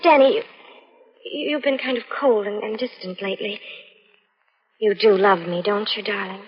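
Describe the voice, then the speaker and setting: An actress playing a solicitous young fiancée in an old radio drama, earnest and soothing as she gently probes. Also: medium pitch, moderate pace, slightly rough, natural voice. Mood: gently probing. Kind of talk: 1940s radio drama acting. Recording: muffled, faintly noisy old radio recording.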